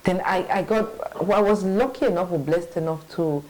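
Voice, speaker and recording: A woman's voice: lowish voice, normal speed, darkish tone, poor audio. A middle-aged woman, telling her career story in an interview, thoughtful, with many restarts and "you know". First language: English. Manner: reflective, hesitant restarts, frequent "you know", laughs easily. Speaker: interview guest, marketing manager. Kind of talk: conversational interview.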